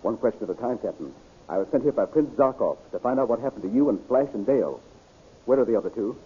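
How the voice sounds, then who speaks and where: A devoted, earnest man of action speaks with sweeping theatrical inflection. His voice is slightly rough and deferential, on a thin, muffled vintage radio recording. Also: medium pitch, animated, quick pace. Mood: hushed, urgent. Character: brave, devoted male officer, sometimes posing as humble peddler. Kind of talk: 1930s radio acting.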